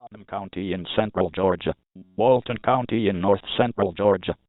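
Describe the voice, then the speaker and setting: A man's voice: lowish voice, moderate pace, no echo. A mechanical-sounding man reading a scripted emergency weather warning in a formal, clipped way, as an alert announcer. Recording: muffled phone-like radio broadcast with noise.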